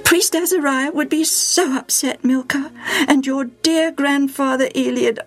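A woman voicing an anguished, devout and loving mother in a children's Bible story, halting and pleading in a drawn-out way. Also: high-pitched, faint music behind, pitched above the performer's own voice. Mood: distressed, reproving.

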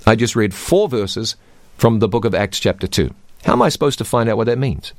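Low-voiced man teaching the Bible. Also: expressive, fairly quick, no room sound. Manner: reads scripture aloud, quizzes his co-host.

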